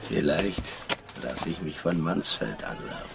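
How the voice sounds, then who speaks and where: An actor playing a poor, lovesick soldier on watch, with a gravelly voice, in a children's radio drama. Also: male voice, rumbling low, expressive, a little slow, exaggerated voice. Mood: hopeful.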